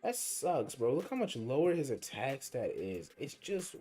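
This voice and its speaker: Young male streamer, lively pitch, mellow tone, casual slangy rambling, faint music behind. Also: unhurried. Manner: rambling, slangy, thinking aloud. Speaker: gaming streamer. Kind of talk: casual livestream commentary over gameplay.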